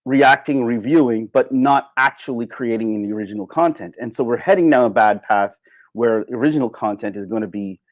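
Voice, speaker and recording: A man's voice: mid-range voice, a little fast, dry recording. A man hosting a casual livestream, talking off the cuff in a rambling way and repeating phrases for emphasis. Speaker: livestream host. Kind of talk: casual unscripted livestream rant, reading and answering chat.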